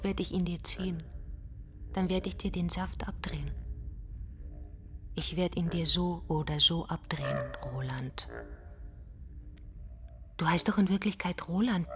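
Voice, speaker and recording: A woman's voice: lowish voice, unhurried, long pauses, slight background noise, natural voice. An actress playing a pupil's mother in a radio drama, seductive and menacing, brazen and wounded, with a provocative, insinuating delivery. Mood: menacing, hushed. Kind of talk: stage-like radio drama dialogue.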